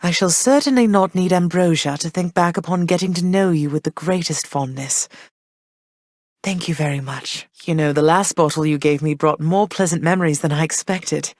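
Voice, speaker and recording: An actress voicing a gentle, motherly goddess queen of the underworld, speaking warmly and graciously in game voice lines. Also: sits low, moderate pace, dry recording, lightly acted. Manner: warm, gracious, softly reassuring. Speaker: voice actor. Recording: dry studio voice recording.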